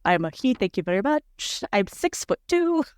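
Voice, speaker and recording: A woman in her forties with a squeaky voice, chatting casually as a podcast guest and leaping up in pitch when quoting herself. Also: big pitch swings, moderate pace, darkish tone, clear, resonant tone, dry recording, studio quality. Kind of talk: casual chat.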